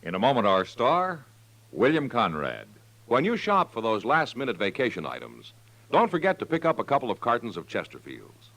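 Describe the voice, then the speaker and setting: A man's voice: mid-range voice, huge pitch swings, moderate pace, fairly bright tone, no room sound, poor audio. A man announcing and advertising on 1950s radio with a polished, emphatic, scripted delivery. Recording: vintage radio recording.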